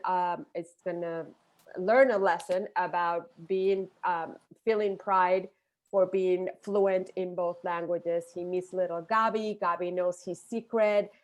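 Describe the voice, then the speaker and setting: A woman with a mid-range voice presents her children's books on a video call. She talks in a cheerful, animated way. She speaks through earphones with a mic from a room at home. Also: mid-range voice. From Puerto Rico. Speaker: children's book author.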